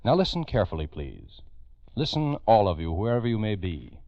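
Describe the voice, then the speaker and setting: A man's voice: fairly low pitch, sing-song, fairly quick, muffled recording, loud music behind the voice. A man narrating a 1940s radio crime program in a grave, emphatic, urgent manner on an old recording. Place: radio studio.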